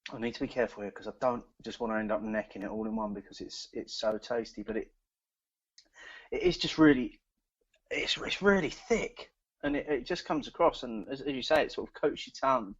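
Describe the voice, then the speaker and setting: A man's voice: medium pitch, brisk, muffled recording. A man co-hosting a beer podcast, chatting enthusiastically as he tastes, often repeating words as he talks. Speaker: podcast co-host. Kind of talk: casual chat.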